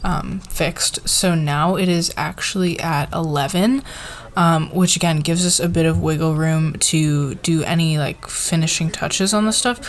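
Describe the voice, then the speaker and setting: Casting: actress as supportive woman boss for ASMR roleplay, lowish voice, very slow pace, soft halting meeting talk. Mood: appreciative, encouraging. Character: friendly, encouraging female boss leading a team meeting.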